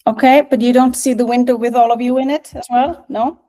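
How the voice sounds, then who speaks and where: A middle-aged woman from Iceland with an Icelandic accent, giving an informal presentation on a video call, hesitant and pausing often. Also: on the high side, fairly even intonation, mellow. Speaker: guest speaker, national music office director.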